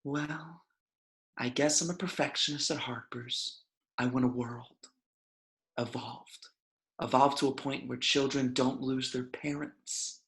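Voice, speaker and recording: Man playing a slow, sardonic villain mastermind. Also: mid-range voice, fairly even intonation, a bit bright. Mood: quietly wistful. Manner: measured, sardonic, taunting. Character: bitter, mocking male supervillain mastermind, chillingly calm. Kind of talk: film script table read.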